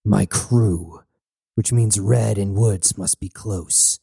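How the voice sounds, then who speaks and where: A man voicing a serious, uncanny crew leader who speaks in a slow, measured way in an audiobook. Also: on the low side, creaky, dark tone, breathy edge, dry recording, studio quality, full character voice. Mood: serious, urgent.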